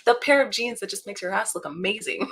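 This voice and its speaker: A woman guest in a casual interview, talking about her work with a relaxing voice and an animated, laughing way of telling stories. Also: medium pitch, quick pace, breathy edge. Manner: warm, animated storyteller who laughs often. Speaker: interview guest, voice actor and creative director.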